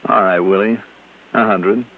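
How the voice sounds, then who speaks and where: An actor playing a wry, confident American insurance investigator, narrating and talking in clipped noir radio style. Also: male voice, lowish voice, steady tone, measured pace, slight background noise, natural voice. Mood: wry, businesslike. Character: wry, hard-boiled male insurance investigator narrating his case. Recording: muffled old radio recording with music.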